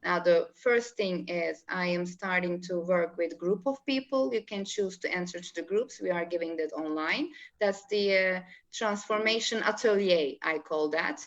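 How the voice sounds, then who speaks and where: A woman from Turkey with a Turkish accent, speaking English as a coach being interviewed, explaining in an animated way with halting non-native phrasing. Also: lowish voice. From Istanbul, Turkey. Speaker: spiritual coach, interview guest. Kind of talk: explaining in a live online interview. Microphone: video call. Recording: dull, lo-fi video call audio.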